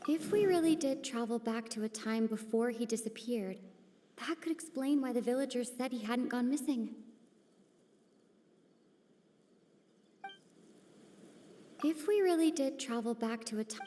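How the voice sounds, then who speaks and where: A woman playing a calm, analytical young scholar in a video game, reasoning thoughtfully aloud. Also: on the high side, fairly quick, big-room sound, a little hiss or hum, close to an everyday voice. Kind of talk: measured video game dialogue.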